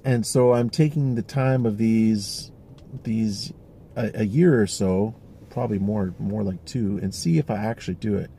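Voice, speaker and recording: Man, 40s, dark mellow gravelly tone, rambling reflective vlog delivery from a van, faint noise. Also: lowish voice, unhurried. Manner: rambling, thoughtful, frequent fillers and restarts. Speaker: vlogger. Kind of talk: casual reflective monologue.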